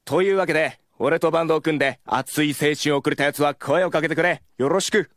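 A man's voice: on the high side, fast talker, fairly bright tone, faint music behind, natural voice. A man voicing a hot-blooded teenage boy in an audio drama. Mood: fired up. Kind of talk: scripted Japanese anime-style audio drama acting.

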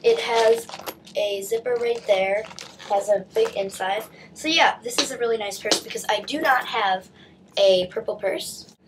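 A woman's voice: on the high side, measured pace, noisy background. A teenage girl chatting through a shopping haul on her webcam, stuffy from a cold, with lots of likes and restarts. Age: teens. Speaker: vlogger. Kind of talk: casual haul video chat. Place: small bedroom indoors. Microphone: webcam at desk distance.

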